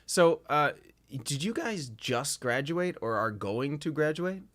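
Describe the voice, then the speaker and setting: A man's voice: on the high side, expressive, takes it slowly, fairly bright tone. A man hosting a casual video chat, talking in a rambling, hesitant way with lots of fillers. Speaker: podcast host.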